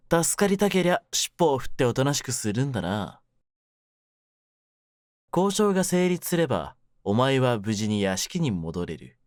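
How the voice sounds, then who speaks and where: A man playing a menacing young mafia kidnapper in an intimate voice drama, speaking low with rough, smug, teasing words. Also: no echo, clean studio sound. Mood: mocking, controlled. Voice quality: low-pitched, hushed at menacing moments. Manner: rough masculine speech, smug mock politeness. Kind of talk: ASMR situation voice drama, intimate one-sided roleplay.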